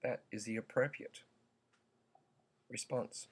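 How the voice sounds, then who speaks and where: Slow, croaky man vlogging. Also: medium pitch, fairly even intonation. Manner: slow, halting, earnest, with many ums. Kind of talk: opinion vlog.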